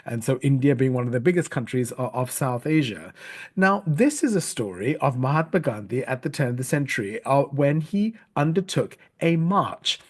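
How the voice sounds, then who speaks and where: A middle-aged man from Sri Lanka, giving a recorded training talk as a storytelling coach, earnest and measured, with frequent fillers and restarts. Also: medium pitch, lively intonation. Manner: spells out words letter by letter.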